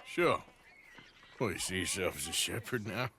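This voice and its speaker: An actor playing a wry, tough Old West outlaw with an American accent and a sardonic, folksy drawl. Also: male voice, deep voice, animated, moderate pace, sharp tone, a little hiss or hum. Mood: teasing. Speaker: actor in a video game cutscene. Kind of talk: cinematic western game acting.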